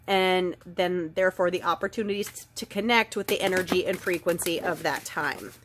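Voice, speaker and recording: A middle-aged woman with a slightly stuffed-up, nasal voice, gently and earnestly giving an oracle card reading and reading passages aloud. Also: fairly low pitch, steady tone, normal speed, slight background noise. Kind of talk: casual spiritual card reading.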